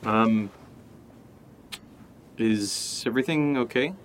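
A man's voice: lowish voice, slow, slight background noise. An actor playing a bewildered, wary man in an audio drama, hesitant and muttering sardonic asides. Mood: confused, worried. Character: bewildered, wary man puzzled by his housemate's behavior. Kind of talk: audio drama dialogue and monologue.

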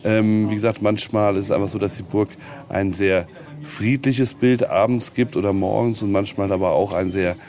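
A man's voice: fairly low pitch, steady tone, moderate pace, a little mellow. A man being interviewed casually, talking reflectively and often searching for his words.

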